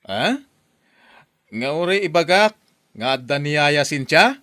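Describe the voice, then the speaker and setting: A man's voice: a little high, lively intonation, slow pace, sharp tone, no room sound, full character voice. A man playing a doting, pleading uncle and suitor in an Ilocano radio drama. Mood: calling out.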